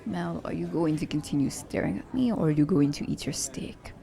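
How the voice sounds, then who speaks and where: A woman playing a confident, selfish young assassin in an ASMR romance roleplay, speaking in a teasing, halting manner. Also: low voice, vocal fry, dark tone. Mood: teasing, relaxed.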